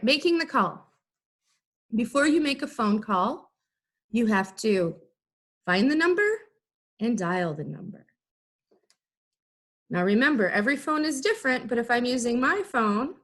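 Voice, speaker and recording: A woman teacher giving an instructional lesson, explaining things in clear, simple, step-by-step phrases. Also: on the high side, fairly bright tone. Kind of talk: instructional video lesson for students.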